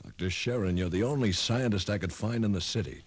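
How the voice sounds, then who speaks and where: An actor playing a skeptical, excitable young man with a husky voice, insistent and halting, in 1950s radio style. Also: on the low side, noisy background, close to an everyday voice. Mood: puzzled, skeptical. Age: young adult.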